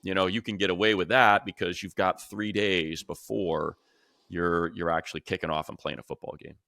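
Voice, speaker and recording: A man's voice: sits low, fairly even intonation, normal speed, creaky, bright, forceful tone, dry recording, studio quality. An American man co-hosting a sports talk show, explaining things in a casual, conversational way. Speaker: sports talk show host and play-by-play announcer.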